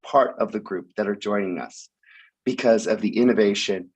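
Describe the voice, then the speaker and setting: A man speaking hoarsely with a raspy voice while sick with the flu, giving a halting sponsor talk over a video call with many ums. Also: fairly low pitch, darkish tone, muffled recording. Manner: halting, frequent ums, occasional cough. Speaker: sponsor speaker at hackathon opening. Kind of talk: online event speech.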